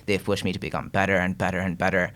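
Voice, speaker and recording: Young guy from bangladesh, really low voice, earnest and thoughtful, lots of ums and restarts. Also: flat delivery, fast, fairly bright tone. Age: young adult. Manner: earnest, reflective, many fillers and restarts. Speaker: guest content creator. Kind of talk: casual interview talk.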